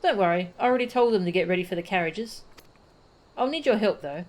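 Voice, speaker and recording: A woman's voice: mid-range voice, quick pace, lightly acted. Woman playing an eager, chatty young boy. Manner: chatty, excitable. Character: young boy refugee, eager and curious game character.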